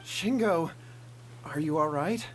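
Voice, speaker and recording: A man's voice: fairly high pitch, animated, slow pace, slight background noise, poor audio. A man playing a humble, worried young brother in an anime game, earnest and polite.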